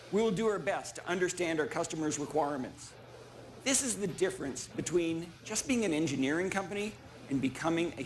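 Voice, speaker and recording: A middle-aged man gives a polished keynote in a slightly high voice. He speaks deliberately, in short emphatic statements, into a lapel mic on a large conference stage, with faint noise behind him. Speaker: chief executive giving a keynote. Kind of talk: rehearsed keynote speech. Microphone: small clip-on lapel mic.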